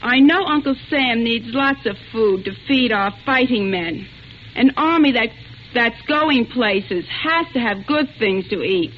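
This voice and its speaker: A woman's voice: a little high, animated, a little slow. A young woman giving an earnest, plain-spoken scripted testimonial in the style of 1940s radio. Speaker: speaker in a wartime radio food appeal. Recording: dull, noisy vintage radio recording.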